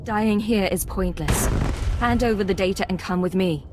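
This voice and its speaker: An actress playing a composed, resolute secret agent in a video game, speaking in a measured, direct way. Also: female voice, medium pitch, very noisy, close to an everyday voice. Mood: firm. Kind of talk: dramatic video game acting.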